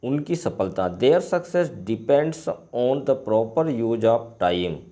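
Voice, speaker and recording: A man with an Indian accent, a native Hindi speaker, teaching line by line and repeating phrases deliberately as he translates. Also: mid-range voice, animated, dark tone. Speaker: English teacher. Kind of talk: line-by-line bilingual lesson, reading and translating.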